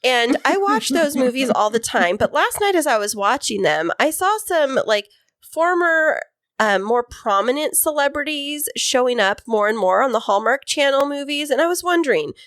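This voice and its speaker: A woman's voice: high-pitched, very animated, normal speed, fairly bright tone, dry recording, clean studio sound. A woman co-hosting a podcast, chatting casually and bubbling along with many "like"s. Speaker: podcast co-host. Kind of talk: casual interview chat.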